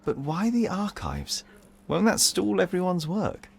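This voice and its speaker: An actor playing a cool, self-assured young detective in a video game, speaking in a clipped, formal, composed way. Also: male voice, high voice, wildly swooping pitch, a little slow, vocal fry, slightly rough, exaggerated voice. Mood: brisk, confident. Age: young adult. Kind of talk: video game cutscene acting.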